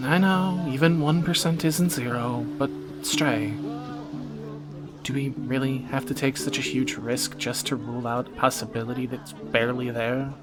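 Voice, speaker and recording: A man's voice: mid-range voice, fairly even intonation, moderate pace, over music, natural voice. A man playing a wry young thief in a romantic audio roleplay, teasing and sardonic in a conversational way, anxious yet affectionate. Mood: incredulous, worried. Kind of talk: scripted romantic audio roleplay, spoken to the listener.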